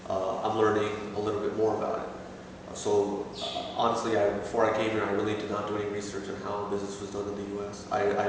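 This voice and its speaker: A man in his thirties from Pakistan, answering interview questions with many pauses and restarts. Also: fairly low pitch, far from the mic. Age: 30s. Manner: hesitant, many fillers and restarts. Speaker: interviewee, assistant store manager. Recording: echoey room, voice from afar, faint noise.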